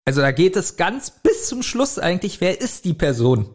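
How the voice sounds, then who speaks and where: A man's voice: sits high, very animated, normal speed. A man co-hosting a podcast, casually commenting and thinking aloud in loose phrases. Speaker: podcast co-host. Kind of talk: casual chat, commenting on an audio drama.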